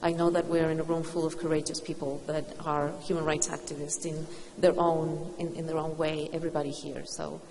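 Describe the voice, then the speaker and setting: A middle-aged woman moderating a panel discussion, asking unhurried, thoughtful questions. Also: deep voice, level tone, darkish tone, slight background noise. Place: event hall with audience. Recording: reverberant hall with faint ambience.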